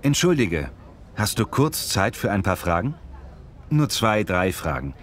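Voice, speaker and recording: A man's voice: fairly low pitch, very animated, measured pace, slight background noise. A man playing a courteous street reporter asking survey questions in a scripted language-lesson dialogue. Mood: polite, inquiring. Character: polite male street reporter doing a survey. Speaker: interviewer.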